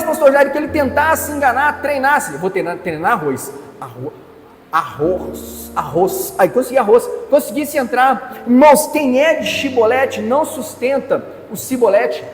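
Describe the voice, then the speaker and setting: A man preaching a sermon as pastor, telling a story playfully and acting out its characters in different voices. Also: very high-pitched. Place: church hall. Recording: echoey church hall with faint music.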